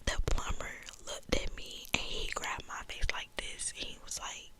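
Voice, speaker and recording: A young woman whispering a story in a profane, animated, gossiping way. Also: normal speed.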